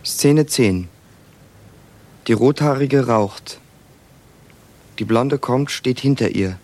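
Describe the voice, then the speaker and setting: Male narrator, unhurried, terse stage directions, faint rumbling noise underneath. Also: a little mellow. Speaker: narrator reading scene directions. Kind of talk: terse scripted narration of a radio play.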